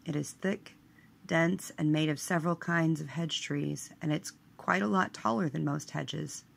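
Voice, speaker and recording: A woman with a low voice and a gravelly creak reads a guided meditation. Her delivery is very slow and measured, hushed at the ends of phrases.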